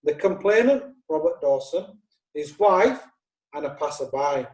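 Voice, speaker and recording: A pompous prosecutor, played by a man, laying out his case in a radio play with grand courtroom oratory. His voice sits high but mellow, and it sounds roomy and a little off-mic. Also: lively intonation, a little slow, recorded from a distance. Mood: accusing. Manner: formal, theatrical courtroom oratory. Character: pompous male prosecuting lawyer. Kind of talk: radio drama acting.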